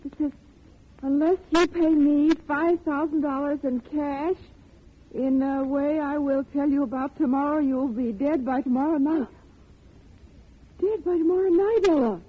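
A woman's voice: high-pitched, a little slow. An actress playing a young, naive, anxious chorus girl in a 1940s radio drama, earnest and pleading. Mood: frightened, pleading. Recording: muffled, narrow-band old radio broadcast.

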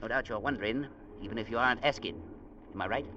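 An actor playing a gruff, stubborn sea captain in vintage radio drama, with blunt, salty, folksy speech and deliberate emphasis. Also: male voice, mid-range voice, sharp tone, close to an everyday voice. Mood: firm, deliberate. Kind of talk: 1940s-50s radio drama acting. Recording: noisy, muffled old radio broadcast.

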